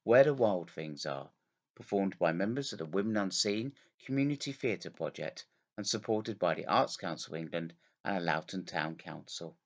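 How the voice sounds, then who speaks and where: Deep-voiced man announcing. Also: expressive, moderate pace. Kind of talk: scripted production introduction.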